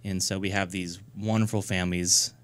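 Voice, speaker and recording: Low-voiced man in his thirties. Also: flat delivery. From New Hampshire, US. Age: 30s. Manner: earnest, halting, many fillers and restarts. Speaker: guest, nonprofit founder. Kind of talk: casual radio interview.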